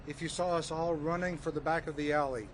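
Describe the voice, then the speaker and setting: A soft-spoken man, uncle of a detainee, speaking in a measured way at an outdoor press event. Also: fairly high pitch, steady tone. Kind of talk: public remarks at a press event. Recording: outdoor street noise.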